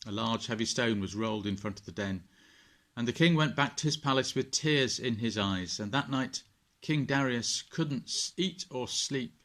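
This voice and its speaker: A man's voice: mid-range voice, normal speed, fairly bright tone. A man, a vicar, reading a children's bedtime story and telling it expressively to his listeners.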